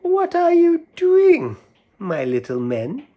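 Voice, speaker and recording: A man voicing a sly female cat in a storybook rhyme, coaxing in a drawn-out way. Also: extremely high pitch, wildly swooping pitch, highs cut off, pitched above the performer's own voice, heavily acted.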